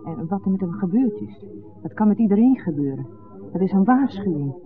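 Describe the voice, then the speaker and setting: An actress playing an ageing, informal nightclub hostess and former dancer in a 1960s radio drama, with a hesitant, questioning way of talking. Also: female voice, medium pitch, animated, a little fast, over music, natural voice. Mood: urgent warning. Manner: informal, hesitant, tags phrases with questioning interjections. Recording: muffled old radio recording.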